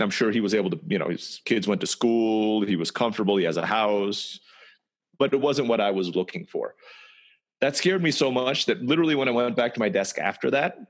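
A man who grew up in Turkey, telling his life story in a relaxed, conversational way, sometimes restarting and repeating words. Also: on the low side. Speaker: interview guest. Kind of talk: storytelling personal history.

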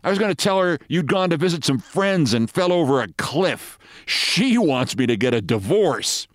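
Man acting a peevish, stubborn husband, animated and indignant. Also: a little high, moderate pace, no room sound, clean studio sound. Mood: annoyed, indignant. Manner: defensive, petulant, indignant. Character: peevish, stubborn middle-aged husband plotting clumsily.

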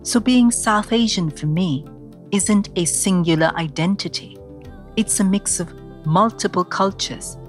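Woman, podcast host, from india, lowish voice, measured scripted delivery, faint music underneath. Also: a bit bright. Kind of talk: scripted podcast introduction and interview.

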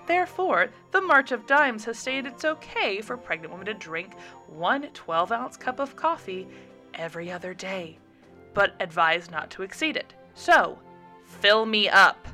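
Pregnant woman, sharp-tongued and stubborn, ranting and insistent; read by a woman. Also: mid-range voice, expressive, a little slow, faint music behind. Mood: insistent, lecturing. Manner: ranting, insistent, rising to shouts.

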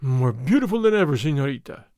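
A man's voice: high-pitched, big pitch swings, moderate pace, vocal fry, no echo, clean studio sound, full character voice. A man voicing an arrogant, pushy army captain in an audiobook, suave and menacing, with a commanding, sneering manner.

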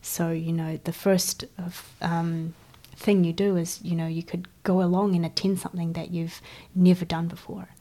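A woman guest in an interview, speaking hesitantly with many pauses and fillers in a soft, breathy way. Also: on the low side, level tone. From China. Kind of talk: casual interview conversation.

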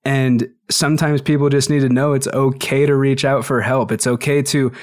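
A man's voice: medium pitch, flat delivery, normal speed. A man from Utah and Idaho hosting a podcast, encouraging and thinking aloud with restarts. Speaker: podcast host. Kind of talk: casual podcast conversation.

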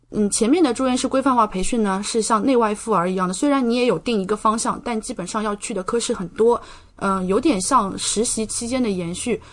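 Young woman explaining things conversationally. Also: mid-range voice. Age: young adult. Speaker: podcast guest, doctor.

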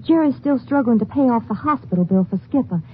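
A woman's voice: fairly high pitch, expressive, lightly acted. Woman doing a jittery young housewife, keeps stumbling on words, tinny old radio sound with a bit of hiss. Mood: worried. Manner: halting, stammering speech. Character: anxious young wife, worried about money. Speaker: young wife and mother. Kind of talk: 1950s radio drama acting.

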